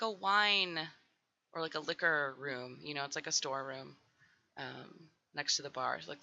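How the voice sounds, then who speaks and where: Woman, raspy and getting over a cold, heavy fry, very bright tone, chatty and rambling, dull lo-fi mic. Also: sits low. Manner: rambling, full of "um" and "like". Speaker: Sims builder doing a voiceover. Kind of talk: casual voiceover chat.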